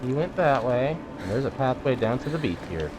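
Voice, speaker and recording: A male gaming YouTuber chats casually through his playthrough. His pitch is mid-range but moves around a lot as he haltingly thinks aloud. Game noise is clearly audible behind him. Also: very animated. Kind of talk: casual let's play commentary.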